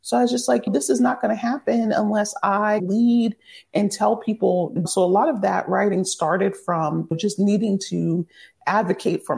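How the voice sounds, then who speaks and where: Gravelly-voiced woman telling her story. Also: medium pitch, darkish tone. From Virginia, near Washington DC. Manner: candid, upbeat storytelling. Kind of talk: podcast interview.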